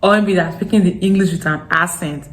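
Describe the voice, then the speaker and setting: A young woman from Nigeria's Delta with a strong Deltan accent, giving frank, animated advice to camera in a casual vlog. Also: lowish voice, a little fast. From Nigeria, Delta State. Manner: frank, animated, laughing asides. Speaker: vlogger. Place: home in Accra.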